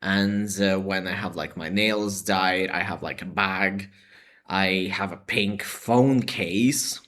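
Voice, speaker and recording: A young man with a deep, low-pitched voice chats informally to his viewers in a vlog. He speaks in a halting, hedging way, scattering "like" and "sort of" through his sentences. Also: a bit bright. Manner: hesitant, full of "like" and "sort of" hedges. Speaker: vlogger. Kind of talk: casual to-camera chat.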